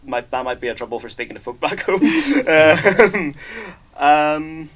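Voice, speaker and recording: A man's voice: fairly high pitch, animated, a little slow, a bit bright. Hesitant young Northern Irish man. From Northern Ireland. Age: young adult. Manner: hesitant, lots of fillers and restarts. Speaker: exchange student guest. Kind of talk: casual interview chat.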